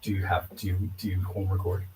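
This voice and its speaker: A man's voice: sits low, level tone, fast, darkish tone, slight background noise, low-quality recording. A man in his fifties hosting a casual radio chat in a studio. He jokes and often restarts his words. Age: 50s. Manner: friendly, joking, stumbles and repeats words. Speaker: radio host.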